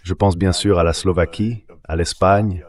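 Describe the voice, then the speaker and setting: A man's voice: deep voice, fairly even intonation, moderate pace, darkish tone. A man speaking as an expert panelist in a translated voice-over, reasoning through an argument.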